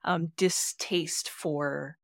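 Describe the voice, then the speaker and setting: A woman with a somewhat low voice chats casually about a book on a podcast. She agrees often and works through her thoughts with small fillers. The sound is close and dry, with no room around it. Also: steady tone, drawn-out words, vocal fry, no echo. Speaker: podcast co-host.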